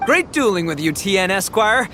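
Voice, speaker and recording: A man playing a brash, boisterous, dim-witted young oni gang boss in a video game, bombastic and easily excited. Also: sits high, normal speed, a little hiss or hum, heavily acted. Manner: bombastic and easily excited, stumbling over formal words.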